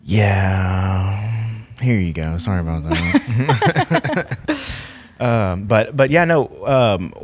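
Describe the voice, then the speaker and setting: A young man hosts a podcast in a loose, casual chat. His voice sits fairly low, and he stammers and repeats words, breaking into laughs now and then. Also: very animated, very slow, no room sound. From Texas. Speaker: podcast host.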